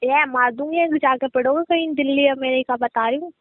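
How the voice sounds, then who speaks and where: A young woman arguing back at her boyfriend in a comedic phone call, sassy and quick to retort. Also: high-pitched, no echo. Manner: sassy, argumentative. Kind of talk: scripted comedic phone banter.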